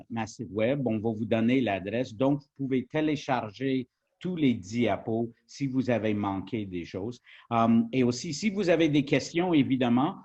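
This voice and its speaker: A man's voice: mid-range voice, a little mellow. Anglo quebec guy doing a webinar in french, lots of euhs, kinda muffled lo-fi sound. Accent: English-speaking Canadian accent in French. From Quebec, Canada. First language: English. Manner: hesitant, many fillers. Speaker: journalism trainer. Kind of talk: webinar presentation.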